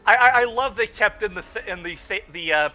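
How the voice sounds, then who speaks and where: Excitable high-pitched man, bright and sharp. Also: fairly quick, faint music behind. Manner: exclamatory, stammering, repeats phrases. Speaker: podcast host riffing on a cartoon. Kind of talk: excitable film-riffing commentary.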